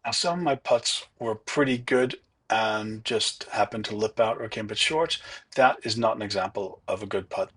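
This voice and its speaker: A man narrating his own golf round in a relaxed, chatty, self-deprecating way. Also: fairly low pitch, slightly rough. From not from Ireland. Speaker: golf vlogger. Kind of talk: casual voice-over commentary.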